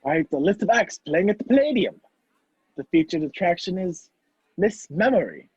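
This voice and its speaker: A man's voice: high voice, lively intonation, normal speed, breathy edge, no echo. A man acting a wrongly accused, impatient man on the run in a radio play over a video call. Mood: urgent. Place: video call, dry room. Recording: muffled video-call audio.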